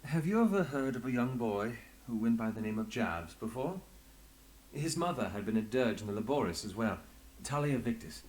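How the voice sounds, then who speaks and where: An actor playing an authoritative, guarded inspector in a video game, speaking in a formal, measured and commanding manner. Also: male voice, medium pitch, animated, a little mellow, lightly acted, rough recording. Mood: probing. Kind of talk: scripted video game acting.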